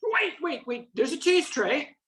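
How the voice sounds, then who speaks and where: A woman's voice: sits high, very animated, a little slow, fairly bright tone, exaggerated voice. A woman playing an earnest, patriotic professor with a mock-solemn, dramatic delivery in a comic radio play. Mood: eager, playful.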